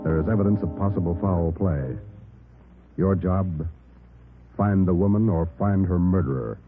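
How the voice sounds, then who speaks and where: A man reading a scripted 1940s radio drama introduction in a stern, clipped, measured way. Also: deep voice. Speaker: radio announcer. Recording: muffled old radio broadcast recording. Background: loud brass music.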